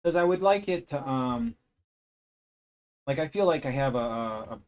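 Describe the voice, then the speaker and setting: Man, somewhat deep voice, middling pitch, casual stream chat, rambling, thinking aloud, desk mic at home. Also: animated, normal speed. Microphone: desk microphone.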